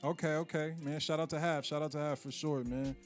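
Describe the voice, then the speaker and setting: A man's voice: on the high side, steady tone, fairly quick, soft background music. A man hosting a casual radio interview, laid-back and slangy with lots of fillers. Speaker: radio show host.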